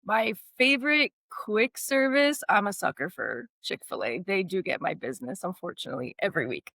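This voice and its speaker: A woman's voice: medium pitch, expressive, moderate pace, vocal fry, a bit bright, dry recording. A woman from Miami, a podcast guest talking in a friendly, enthusiastic, conversational way about retail. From Miami, USA. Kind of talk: casual interview.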